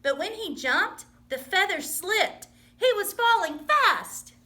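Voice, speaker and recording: A woman reading a children's story aloud in an expressive, dramatic storytelling manner. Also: very high voice, slow. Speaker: storybook reader.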